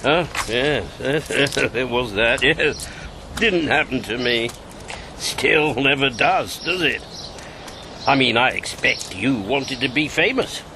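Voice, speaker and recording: Old whisky-loving charmer, jovial, theatrical, animated pitch, radio play, noisy background. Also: male voice, takes it slowly. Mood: flirtatious, wry. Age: 70s. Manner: chuckling, roguish, theatrical. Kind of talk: radio drama acting. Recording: radio drama with clear background noise.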